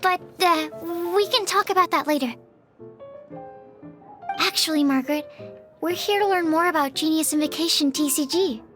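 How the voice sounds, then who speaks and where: A woman's voice: high voice, normal speed, pauses often. A woman playing a shy, polite young female researcher in a video game, with a hesitant manner. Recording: loud music behind.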